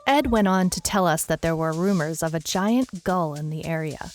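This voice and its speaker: An actress plays a world-weary female private eye with a low voice. She narrates in a scripted noir audio drama style, dry and deadpan, with wry understatement throughout. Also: moderate pace, faint music behind, lightly acted. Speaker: narrator, lead actor.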